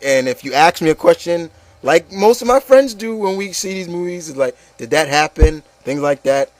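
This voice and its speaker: A man's voice: a little high, lively intonation, moderate pace, no echo. A man hosting a casual opinion show, speaking bluntly and with exasperation, often stammering and repeating himself and swearing. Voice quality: stammering, repeats words and phrases. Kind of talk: casual opinion rant with a co-host.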